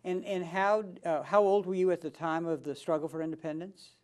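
A man hosting a formal interview, asking his guest questions in a measured, courteous way. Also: a little high, fairly even intonation. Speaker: interview host.

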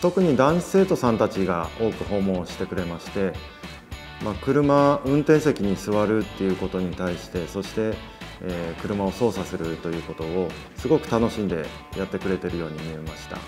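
A man's voice: low-pitched, expressive, normal speed, over music. A man speaking politely in an interview, explaining his work as a car dealership employee.

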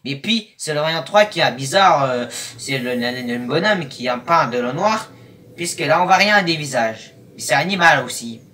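Halting young man with a bright, higher voice. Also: expressive. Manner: halting, rambling, disjointed phrasing. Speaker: YouTube host. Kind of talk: casual reaction commentary. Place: small room at home, indoors. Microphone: webcam at arm's length or more. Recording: noisy webcam, distant from the mic.

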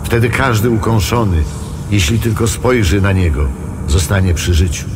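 A man acting the Lord in a biblical radio play. His lowish voice is solemn and commanding, with clear ambient noise behind it. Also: moderate pace, lightly acted. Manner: solemn, authoritative. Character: commanding male God instructing his prophet.

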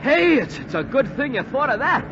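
A young American airman in a wartime radio drama, wisecracking and keyed-up. His voice is very high for a man and swings widely. The old recording is narrow and buried in loud noise. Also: very animated, moderate pace, heavily acted. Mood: tense, wry. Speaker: radio actor. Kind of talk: 1940s radio acting. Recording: noisy, band-limited 1940s radio recording.

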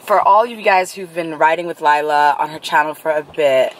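A woman's voice: deep voice, a little fast, low-quality recording. A young woman chatting and teasing her friend in a parked car, filmed by a handheld camera. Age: young adult. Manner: animated, teasing, loud laughs, slips into pidgin. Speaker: vlog guest, friend. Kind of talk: casual chat. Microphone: camera held at arm's length. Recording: car with noticeable background hum.

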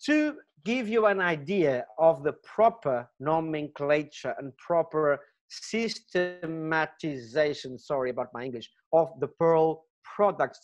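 A middle-aged man with a Portuguese accent, a Portuguese native speaker giving an earnest webinar lecture over a webcam, with many fillers and restarts. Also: a little high. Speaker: gemology lecturer.

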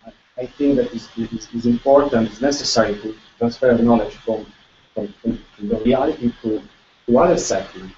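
Italian man, middle-aged, giving a halting talk, heard from across an echoey room. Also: medium pitch, dark tone, a little hiss or hum. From Italy. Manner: halting, many restarts and repeated words, gesturing. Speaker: guest researcher presenting. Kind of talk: lecture presentation. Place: lecture room with projector screen. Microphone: far room camera. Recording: echoey room, distant and dull.

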